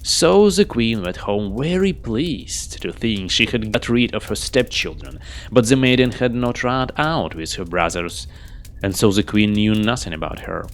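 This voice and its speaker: A man reading a fairy tale aloud as a storyteller, expressively and with measured, theatrical delivery. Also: fairly low pitch, lively intonation. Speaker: storyteller, reader. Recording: noisy home recording.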